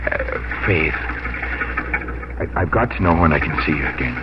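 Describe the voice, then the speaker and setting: A man acting an eager, charming young well-to-do gentleman courting someone, in 1950s radio drama style. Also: a bass voice, expressive, normal speed. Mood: smitten, eager. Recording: noisy, muffled 1950s radio broadcast.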